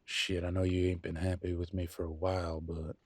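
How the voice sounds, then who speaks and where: Low-voiced, weary werewolf husband played by a man. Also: flat delivery, no room sound. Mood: weary, sarcastic. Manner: folksy, sarcastic, pausing, colloquial. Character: weary, embittered male werewolf pack leader and husband. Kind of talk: dramatic one-sided relationship roleplay audio.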